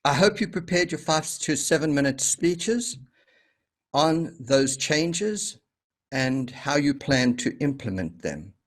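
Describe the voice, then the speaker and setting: A male host speaks slowly and deliberately, his pitch rising and falling in a lively way. His voice carries a slight huskiness as he welcomes guests to an online meeting. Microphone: video call.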